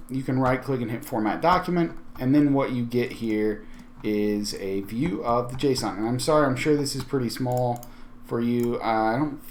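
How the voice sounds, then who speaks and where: Male tutorial host, mid-pitched, conversational and explanatory, walking through software on screen. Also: a little hiss or hum. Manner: conversational, explanatory, thinking aloud.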